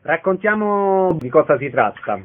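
Higher-voiced man hosting radio interviews. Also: animated, a little slow, phone-quality sound, slight background noise. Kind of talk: radio interview with scripted event announcements.

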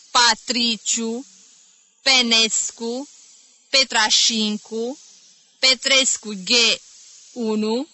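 A woman voicing a small, irritable male music teacher around fifty who shouts insults at his pupils and mocks them. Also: on the high side, dry recording, pitched above the performer's own voice, heavily acted, poor audio. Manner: shouting insults, mocking and ironic.

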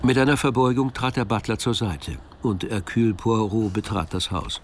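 A man narrating a radio drama, telling the story in a measured, precise literary style. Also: on the low side, a little hiss or hum, lightly acted. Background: music.

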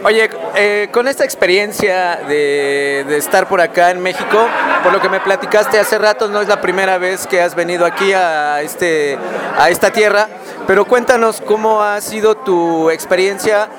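A Mexican man hosting a casual radio interview, putting informal, rambling questions to his guest. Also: high voice. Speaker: interviewer, show host. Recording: music and crowd noise behind.

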